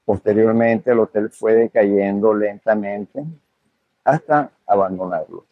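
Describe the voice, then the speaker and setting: Older man, low husky voice. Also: a little slow, no echo, narrow, tinny audio. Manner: hesitant, full of fillers and restarts. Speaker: hotel owner and manager. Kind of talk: conversational interview answers.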